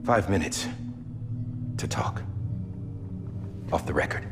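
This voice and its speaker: An actor playing a loyal, guilt-ridden soldier in a game drama, halting and earnest, often dropping toward a whisper. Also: male voice, deep voice, lively intonation. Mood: urgent, secretive. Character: loyal, guilt-ridden soldier torn between oath and former commander. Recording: loud music behind the voice. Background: cinematic score.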